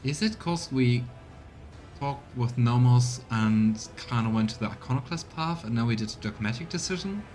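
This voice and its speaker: A man's voice: animated, moderate pace, a little mellow, soft background music. A man doing a voiced let's play, reading game text expressively and reacting to it as a streamer. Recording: low rumbling background noise.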